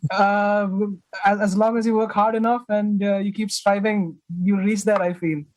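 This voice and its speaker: A man's voice: high voice, level tone, normal speed, dry recording, rough recording. A young man from India on a panel, light and joking. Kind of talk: casual panel talk.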